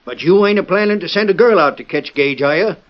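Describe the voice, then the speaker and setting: A homespun western sheriff for old-time radio. His voice is fairly high, with a Western American drawl and a slightly slow-witted, indignant air, heard through a muffled old recording. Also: expressive. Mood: puzzled, skeptical.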